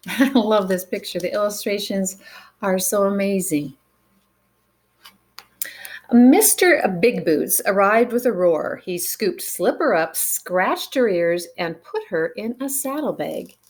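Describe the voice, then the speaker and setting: A woman reading a picture book aloud to children in an expressive way, with dramatic pauses and chatty asides to the listeners. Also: medium pitch. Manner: animated, dramatic pauses, engages young listeners with questions.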